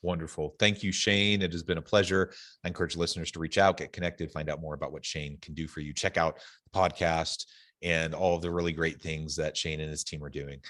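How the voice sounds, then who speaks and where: A man's voice: very deep voice, steady tone, fairly quick, fairly bright tone, no echo, clean studio sound. A man speaking conversationally as the host of a podcast interview.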